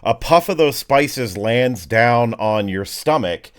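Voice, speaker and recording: A man running a tabletop game as game master, narrating off the cuff in vivid, colloquial, halting phrases. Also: mid-range voice, animated.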